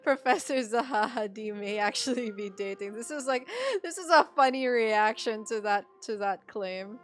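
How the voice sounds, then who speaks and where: A woman's voice: on the high side, normal speed. A woman streamer reacting casually while playing through a story game. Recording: game music behind voice. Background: game music.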